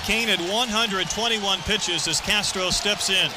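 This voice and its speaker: A male baseball announcer with a high-pitched voice gives live play-by-play from a stadium booth. A loud crowd and heavy background noise fill the broadcast behind him. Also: a little slow. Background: roaring stadium crowd.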